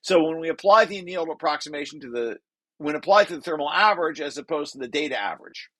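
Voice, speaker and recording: A man's voice: a little high, expressive, quick pace, a bit bright, no echo. A man reading through a technical paper and explaining it informally, thinking aloud and correcting himself as he goes. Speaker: researcher presenting own paper.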